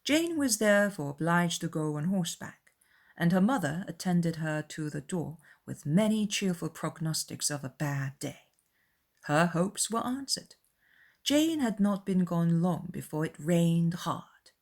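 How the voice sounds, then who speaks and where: A woman's voice: fairly low pitch, animated, unhurried, darkish tone. A woman reading scripted literary narration as the narrator of a dramatized audiobook.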